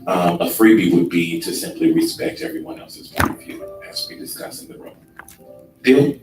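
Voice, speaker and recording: Deep, sleepy-voiced man presenting in an echoey room. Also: music in the background. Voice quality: sleepy, laid-back delivery. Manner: unhurried with frequent ums. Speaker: workshop presenter. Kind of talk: relaxed workshop presentation. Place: conference room. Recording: echoey room from far off with noise.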